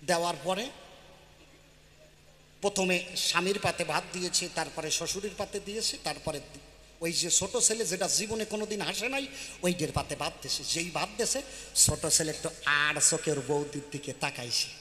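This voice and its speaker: A man's voice: fairly high pitch, normal speed, bright, forceful tone. A man telling comic stories on stage, conversational, repeating phrases and checking in with listeners. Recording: very echoey hall, faint noise.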